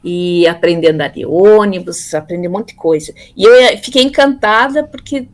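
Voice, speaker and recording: A woman in her fifties from Brazil, telling her life story in a relaxed interview, pausing often with fillers and repeated words. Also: mid-range voice. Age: 50s. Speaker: interviewee, theology graduate. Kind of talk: casual conversational interview.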